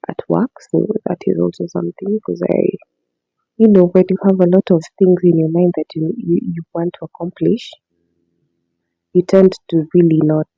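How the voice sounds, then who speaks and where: A young Kenyan woman hosting her diary podcast, talking casually in a raspy voice because she has just woken up, soft-spoken and rambling with frequent laughs. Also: fairly low pitch, dry recording, highs cut off. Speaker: podcast host. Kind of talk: casual diary-style monologue.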